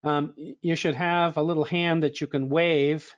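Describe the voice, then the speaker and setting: A slightly hoarse man moderating an online training webinar, with a somewhat high voice. He talks in a relaxed, conversational way with fillers and restarts. The sound is close and dry. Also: normal speed, no echo, lo-fi sound.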